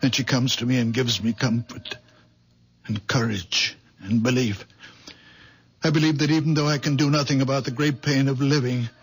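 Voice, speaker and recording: A man playing a halting, apologetic very old man. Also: mid-range voice, husky, lightly acted. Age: older. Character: gentle, troubled very old man with healing hands. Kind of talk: 1970s radio drama acting.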